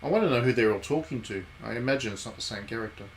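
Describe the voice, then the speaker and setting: A man streams a video game with casual, chatty commentary in a mid-pitched voice. He jokes along and addresses his viewers directly, over faint background noise. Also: rapid-fire. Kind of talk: casual livestream commentary, reading game text aloud.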